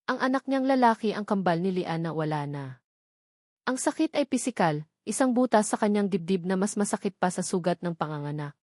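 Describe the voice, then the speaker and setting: A woman with a Filipino accent reads a dramatic story in a measured, solemn way, in short phrases. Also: medium pitch, lively intonation, darkish tone, no echo, clean studio sound. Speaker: story narrator. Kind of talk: dramatic scripted narration.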